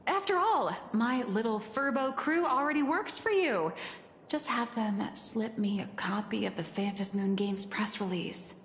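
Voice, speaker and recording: A woman's voice: a little high, a little slow, long reverb tail, a little hiss or hum. An actress playing a playful, scheming creative woman in a video game, with theatrical, sly delivery. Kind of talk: video game character acting.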